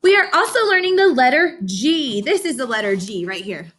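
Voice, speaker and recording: A woman's voice: sits high, sing-song, normal speed, fairly bright tone. A woman teaching young children in an interactive read-aloud lesson, encouraging and instructional, often putting questions to her listeners.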